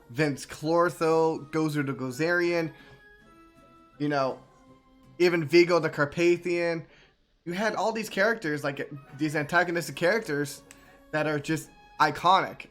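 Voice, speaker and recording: A man giving casual live gaming commentary in a halting, conversational way, often repeating his words. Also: on the high side, fairly bright tone. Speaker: game streamer.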